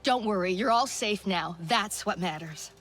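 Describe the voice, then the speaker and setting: An actress playing a mature, guilt-laden resistance leader in a video game, solemn, halting and reassuring. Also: female voice, medium pitch, a bit bright, soft background music, lightly acted. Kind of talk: video game cutscene acting.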